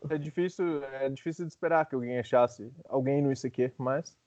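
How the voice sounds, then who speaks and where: Man, sports co-host, casual chat, dry teasing manner, soft mellow timbre, muffled dry recording. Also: medium pitch, fairly quick, dry recording.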